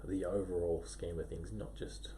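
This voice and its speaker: Man, podcast host, low gravelly voice, hesitant, faint hiss. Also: level tone, normal speed, warm tone. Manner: hesitant, many fillers and restarts. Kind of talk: casual interview chat. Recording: faint background noise.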